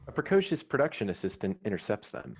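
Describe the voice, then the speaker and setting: Guy w a lowish voice narrating a script table read, kinda muffled online audio. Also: sing-song, fast, slightly rough. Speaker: stage directions reader. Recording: dull lo-fi online call audio.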